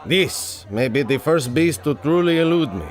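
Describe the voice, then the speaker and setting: An actor playing a proud, bitter, drunken big-game hunter in a pulp radio adventure, with a theatrical, blustering manner. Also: male voice, fairly high pitch, lively intonation, normal speed, natural voice.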